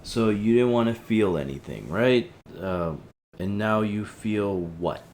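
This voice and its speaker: A man's voice: on the low side, slow, darkish tone, slight background noise. A man playing an easygoing, empathetic, slightly awkward young helpline counselor in a casual audio drama. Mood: probing, encouraging. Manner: casual, hesitant, slangy. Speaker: helpline host.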